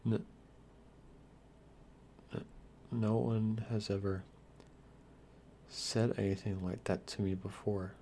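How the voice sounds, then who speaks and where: A man playing a shy, lonely, youthful vampire lord in an ASMR roleplay, stammering earnestly with hesitant, softly formal manners. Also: on the low side, little pitch movement, slow pace, pauses often. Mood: touched, hesitant. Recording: clear background hiss and noise.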